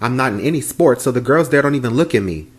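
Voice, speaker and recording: A man's voice: medium pitch, lively intonation, fast, breathy edge. A man voicing a sixteen-year-old boy in a comedy skit, whiny and pleading with his mother. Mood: mopey. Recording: roomy echo.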